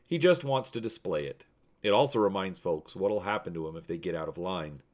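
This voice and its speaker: A man voicing a gruff older asteroid prospector from a space adventure story, speaking in a blunt, folksy way. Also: sits low, animated, a little fast. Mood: matter-of-fact.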